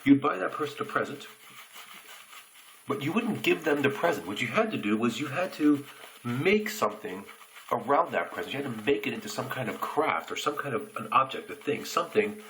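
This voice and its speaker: An American man telling a childhood story in a relaxed, reflective way while shaving, recorded on a phone camera in a bathroom. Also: mid-range voice, slight background noise, poor audio. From United States. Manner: reflective, unhurried anecdotes with pauses. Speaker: shaving reviewer.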